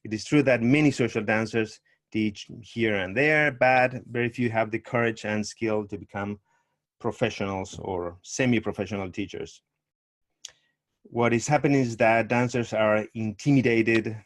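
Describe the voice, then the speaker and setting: A middle-aged man from Argentina, a tango teacher speaking over a video call in a measured, thoughtful way with frequent pauses. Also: on the low side. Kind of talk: online summit interview, presenting.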